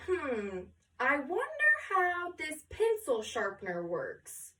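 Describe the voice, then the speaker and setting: A woman's voice: high voice, wildly swooping pitch, slow pace, a bit bright, far from the mic, voice pushed up, heavily acted. A woman reading a storybook, voicing a curious, distracted little schoolgirl who muses to herself. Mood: curious, musing. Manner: musing, muttering to herself.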